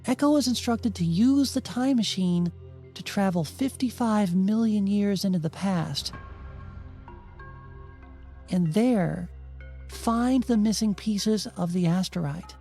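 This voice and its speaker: A woman's voice: medium pitch, slow pace, pauses often, mellow. A woman reading scripted narration in an audiobook style, with wry, dryly sarcastic asides. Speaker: host and narrator of a video game retrospective. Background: music under the voice.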